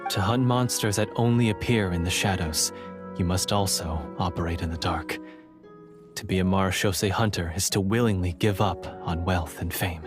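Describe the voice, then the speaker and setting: An actor playing an earnest, theatrical and repentant monster hunter in a fantasy video game, with a solemn, formal manner. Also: male voice, deep voice, normal speed, music in the background, close to an everyday voice.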